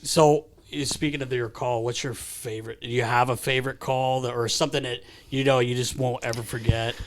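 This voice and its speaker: North American male co-host, medium pitch, loose informal chat full of "like", studio podcast. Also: little pitch movement, brisk. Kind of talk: casual chat.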